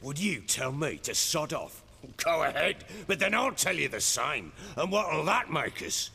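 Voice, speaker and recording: An actor playing a gruff, domineering middle-aged baron in a fantasy video game, with a rough voice, blustering and crude, in a reverberant space. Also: male voice, a little high, big pitch swings, pushed and bright, exaggerated voice. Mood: brooding, resigned. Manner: blustering, swaggering, deliberate speechmaking.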